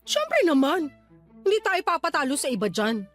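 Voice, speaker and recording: Filipino mom, radio drama, boastful and envious, very high pitch, wildly varied intonation, exaggerated. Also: female voice, huge pitch swings, moderate pace, fairly bright tone, full character voice. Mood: bossy, petty. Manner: exaggerated, swooping delivery. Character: boastful, envious Filipino mother, one-upping her neighbor. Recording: light background music under dialogue.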